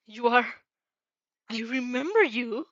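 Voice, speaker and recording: Woman acting out raspy male villain, hostile, suspicious, halting delivery, fan audio drama, muffled dry recording. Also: a little high, lively intonation, a bit bright, dry recording. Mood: shaken.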